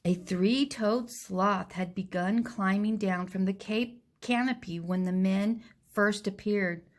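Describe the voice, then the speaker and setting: A woman teacher reading a storybook aloud to young children in a deliberate, direct way. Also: mid-range voice.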